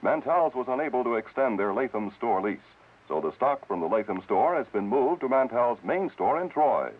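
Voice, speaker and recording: A man working as a commercial announcer, giving a hard-sell pitch in 1950s radio style. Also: sing-song, normal speed. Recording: tinny, phone-like old radio sound.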